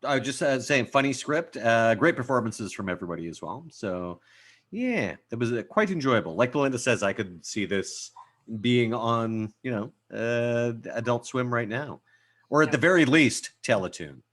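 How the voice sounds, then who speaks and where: A man's voice: medium pitch, lively intonation, moderate pace, fairly bright tone. A man chatting casually and giving feedback in a wry, easygoing way. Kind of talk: casual chat.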